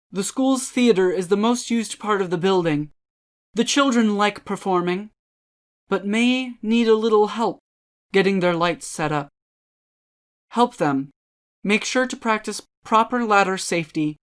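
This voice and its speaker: A woman giving a scripted spooky dramatic reading, ominous and deliberate, with measured dramatic pauses. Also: on the low side. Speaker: dramatic reader.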